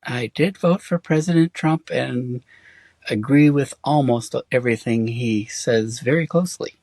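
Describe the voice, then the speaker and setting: Middle-aged guy who grew up in germany, halting answers, lots of ums, dry close sound. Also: medium pitch, darkish tone, dry recording. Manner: hesitant, halting with many fillers and restarts. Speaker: interview guest. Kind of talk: casual conversation.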